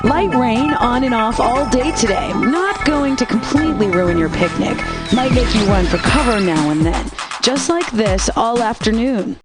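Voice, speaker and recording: A wry, playful female rock DJ played by a woman rattles off scripted station lines. Her voice sits fairly high. Loud rock music plays behind her. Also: animated, moderate pace, close to an everyday voice. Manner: wry, playful announcer delivery. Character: breezy female rock radio DJ. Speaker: radio DJ. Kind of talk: scripted game radio lines.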